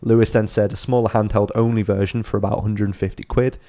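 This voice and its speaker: A man's voice: lowish voice, little pitch movement, dark tone. A man co-hosting a live podcast stream, reading viewer comments aloud and teasing his co-hosts. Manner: joking, quick to tease. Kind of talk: casual live-stream chat.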